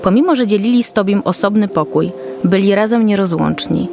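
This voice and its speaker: A woman's voice: mid-range voice, brisk, gravelly, over music. A woman narrator reading a scripted children's story.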